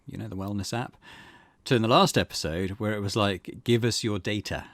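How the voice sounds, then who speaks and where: A man's voice: fairly low pitch, brisk, no room sound. A man hosting a let's play, chatting casually and musing aloud as he comments on a game. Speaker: gaming video host.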